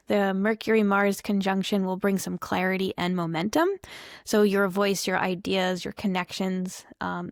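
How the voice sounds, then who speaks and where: Slightly hoarse, slow-talking woman tarot reader. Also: mid-range voice, no echo, clean studio sound. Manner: chatty and reflective, thinking aloud. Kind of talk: casual tarot reading to camera.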